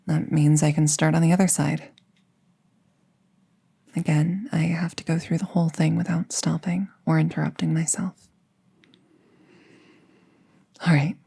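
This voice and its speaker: A woman plays an apprentice witch in an intimate audio drama. Her voice is mellow and soft, with a slow, careful delivery and very little rise or fall. She comes across as gentle and reassuring. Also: sits low, creaky, slightly rough, exaggerated voice. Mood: gentle, focused.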